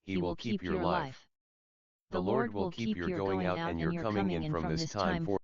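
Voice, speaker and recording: A man's voice: fairly high pitch, big pitch swings, moderate pace, gravelly. A man repeatedly reciting scripture in a declamatory, liturgical style, in a muffled recording amid a crowd. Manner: repetitive, declamatory.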